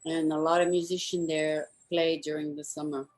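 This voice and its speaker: Low-voiced woman hosting a chat. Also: lively intonation, normal speed, vocal fry, darkish tone, poor audio. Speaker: show host. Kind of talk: casual interview chat, wrapping up.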